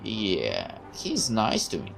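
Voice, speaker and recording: Woman reading a naive young soldier lad, low and halting. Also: huge pitch swings, vocal fry, faint music behind, full character voice. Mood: hesitant. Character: naive young male soldier, earnest, hesitant. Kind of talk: reading visual novel dialogue aloud.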